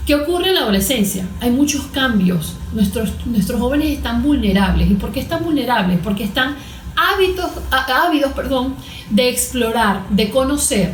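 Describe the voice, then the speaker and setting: A woman's voice: fairly high pitch, a little hiss or hum. A woman with a Venezuelan accent explaining as an expert guest in a conversational interview, didactic, posing questions and answering them. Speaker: guest expert, cognitive therapist. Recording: echoey room.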